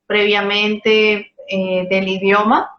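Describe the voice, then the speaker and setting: A woman's voice: medium pitch, little pitch movement, slow. A woman interviewer asks her questions and drops in short agreements. Her voice echoes around the room and sounds muffled and lo-fi, with faint noise underneath. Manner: brief affirming interjections. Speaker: interview host. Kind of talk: conversational interview.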